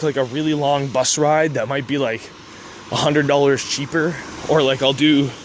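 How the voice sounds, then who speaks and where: A young man from Canada talking casually while walking outdoors, rambling and laughing often. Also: mid-range voice. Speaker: vlogger. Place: city street outdoors. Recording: outdoor street noise. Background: street traffic.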